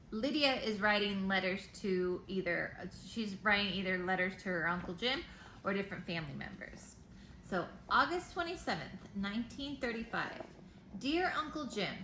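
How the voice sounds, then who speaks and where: A woman teacher reading a picture book aloud to children, pausing for simple explanations and questions. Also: fairly high pitch, pushed and bright. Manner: simple explanations and questions addressed to young listeners. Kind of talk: read-aloud storytime with explanatory asides. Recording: roomy echo, faint background noise.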